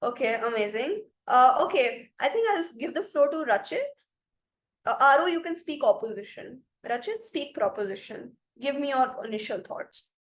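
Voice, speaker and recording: High-voiced Indian woman teaching debate. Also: expressive, moderate pace, low-quality recording. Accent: Indian English. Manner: explanatory, many fillers like "like" and "you know". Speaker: debate trainer. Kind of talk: online debate training session. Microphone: video call.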